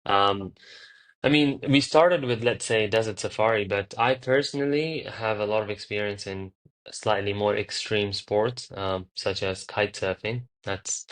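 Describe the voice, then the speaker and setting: A man from Qatar, a tour company founder, answering interview questions casually and pausing often to search for words. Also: fairly low pitch. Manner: hesitant, searching for words with frequent pauses.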